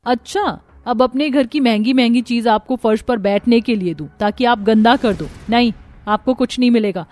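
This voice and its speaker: A woman's voice: on the high side, moderate pace, darkish tone, close to an everyday voice. A woman voicing a spoiled, snappy young married daughter in a cartoon story, petulant and scolding. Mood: scornful. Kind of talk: Hindi cartoon story dialogue.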